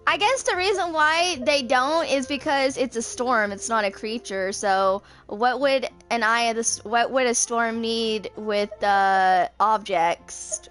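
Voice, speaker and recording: Woman, twenties, slightly high pitch, casual gaming commentary, rambling, thinking aloud, music in background. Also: measured pace, hardly any pauses, fairly bright tone. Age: 20s. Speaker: gaming streamer. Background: game music.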